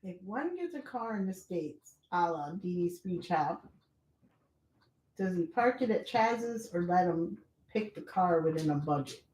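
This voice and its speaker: A woman's voice: on the low side, measured pace. Woman reading chat in an echoey room. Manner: relaxed, reads out chat messages. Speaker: co-host reading viewer comments. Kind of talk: casual chat on a livestream.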